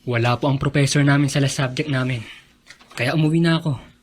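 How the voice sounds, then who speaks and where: A man acting a caring, earnest young college son who is quietly hurt, in a Filipino radio drama with a Filipino accent. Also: medium pitch, steady tone, brisk, slight background noise, natural voice. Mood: concerned, dutiful. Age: young adult.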